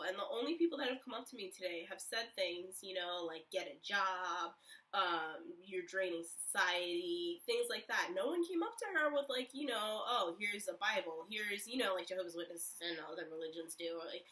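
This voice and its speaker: Young woman, vlog storytime, rambling filler-heavy chat, bright voice, slightly distant mic. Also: mid-range voice. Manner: chatty, rambling, many fillers. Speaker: vlogger.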